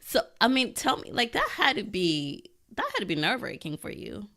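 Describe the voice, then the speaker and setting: A woman's voice: mid-range voice, big pitch swings, a little fast, sharp tone. A woman hosting a podcast interview, chatting with a guest in a friendly, familiar way. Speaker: podcast host. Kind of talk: casual conversational interview.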